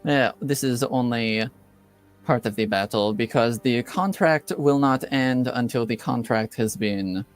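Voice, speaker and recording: A man voicing a composed, businesslike female assassin in a tabletop game, with formal, measured phrasing. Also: mid-range voice, faint music behind, lightly acted.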